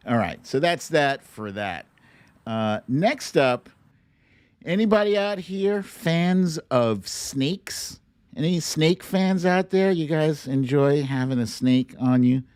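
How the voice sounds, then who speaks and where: A man's voice: mid-range voice, darkish tone, no echo. A man hosting a casual livestream, chatting and reacting to clips in a playful, theatrical way. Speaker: host of a live online show.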